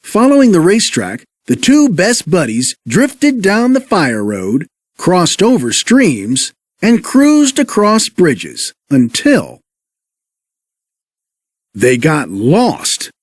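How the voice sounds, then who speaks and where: Male children's story narrator, slightly high, very lively pitch, scripted read-along delivery. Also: slow, dry recording, clean studio sound.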